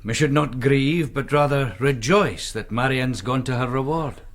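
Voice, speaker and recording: An actor playing an earnest, courteous young Scottish Presbyterian minister in a radio drama, educated and slightly hesitant. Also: male voice, mid-range voice, unhurried, soft background music, lightly acted. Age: young adult.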